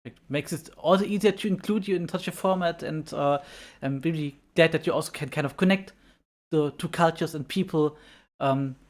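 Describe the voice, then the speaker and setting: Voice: medium pitch, normal speed. Hesitant panel host. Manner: hesitant, many uhs and restarts, laughs often. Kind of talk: panel interview.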